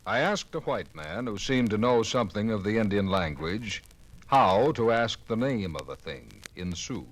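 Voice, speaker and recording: An actor playing an earnest pioneer missionary in a 1950s radio drama, recounting memories in a measured way. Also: male voice, fairly low pitch, lively intonation. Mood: reflective. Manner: measured first-person recollection. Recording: noisy old vinyl radio recording.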